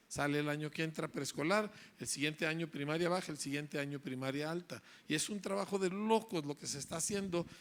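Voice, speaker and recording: A man speaks from the front of a hall, lecturing parents and teachers in an emphatic, driving way. He repeats his key phrases and checks in with his listeners through tag questions. His voice sits somewhat high for a man. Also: fairly bright tone. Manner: emphatic, repetitive rhetorical lecturing with frequent "¿verdad?".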